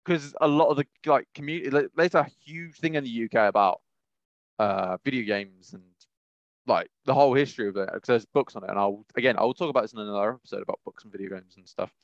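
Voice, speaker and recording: A man's voice: medium pitch, fast, dry recording, highs cut off. A young British man chatting casually as a podcast co-host, rambling and often circling back mid-sentence. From United Kingdom.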